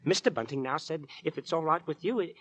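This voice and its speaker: Shy earnest young working bloke, husky, bouncy pitch, dry radio play sound. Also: male voice, lively intonation, fast talker, fairly bright tone, no room sound, lo-fi sound. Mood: nervous, bashful. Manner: hesitant, folksy, deferential. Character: bashful, earnest young working-class suitor, good-natured. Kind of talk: old-time radio drama acting.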